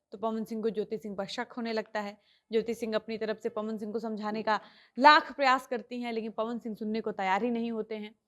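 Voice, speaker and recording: A woman's voice: on the high side, fairly bright tone. A woman presenting an online news report, explaining the story as she retells it.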